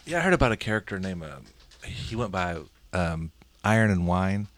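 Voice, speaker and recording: A man's voice: deep voice, lively intonation, normal speed, fairly bright tone, a little hiss or hum. A man chatting casually as an interviewer, hesitantly searching for his words.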